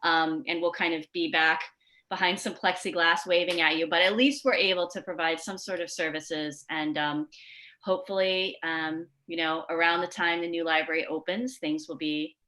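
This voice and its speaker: Bright, low-pitched woman making announcements. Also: fairly even intonation, moderate pace. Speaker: library event host. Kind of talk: informal closing announcements. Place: video call. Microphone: video call microphone.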